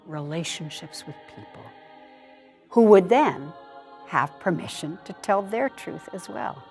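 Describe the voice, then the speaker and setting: An earnest senior woman reflects on her convictions in a lowish voice that rises and falls widely. It is a stage monologue, phrased deliberately, with faint music beneath. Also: expressive, a little mellow. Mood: reflective. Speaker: actor reading seniors' writing. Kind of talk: expressive dramatic monologue reading.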